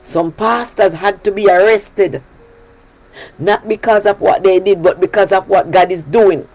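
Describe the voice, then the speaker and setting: A woman's voice: mid-range voice, fairly even intonation, a little slow, a little mellow, faint music behind, rough recording. A woman from Jamaica with a Jamaican accent, preaching a sermon on her prayer broadcast in an emphatic style that repeats key phrases. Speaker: pastor leading a prayer broadcast.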